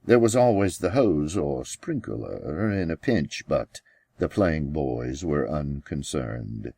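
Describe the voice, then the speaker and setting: A man reading his own fiction as an audiobook, with deliberate, dramatic phrasing and pauses. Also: very deep voice, very animated, creaky, mellow, no room sound. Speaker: author reading own novel. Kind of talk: scripted audiobook narration.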